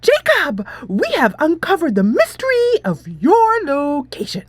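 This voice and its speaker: A woman's voice: high voice, huge pitch swings, measured pace, dry recording, voice pushed up, heavily acted. A woman voicing an eager, theatrical teenage schoolgirl sleuth in a game, grandly formal and declamatory. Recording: soft music behind the voice.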